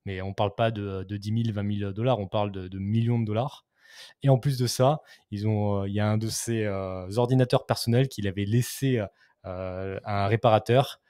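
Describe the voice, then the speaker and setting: A man's voice: fairly low pitch, fairly even intonation, fairly quick, mellow, smooth, no room sound, studio quality. A man hosting a casual live-stream chat, reading viewer questions aloud and laughing often. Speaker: host.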